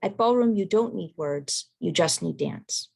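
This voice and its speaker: A woman presenter reading a prepared research talk in a measured, careful way over a video call. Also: fairly low pitch, expressive, vocal fry, a little mellow, breathy edge. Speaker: academic presenter.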